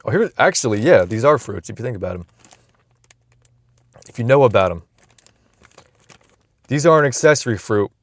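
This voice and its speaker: A man's voice: mid-range voice, big pitch swings, moderate pace, pauses often, no room sound. A young man vlogging from inside a car, musing aloud in a loose, rambling way with frequent restarts, recorded on a phone camera. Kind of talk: casual rambling vlog.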